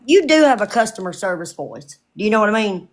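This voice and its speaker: A woman's voice: medium pitch, very animated, fast talker, background noise. A woman guest on a casual livestream, talking in an easygoing, reassuring way.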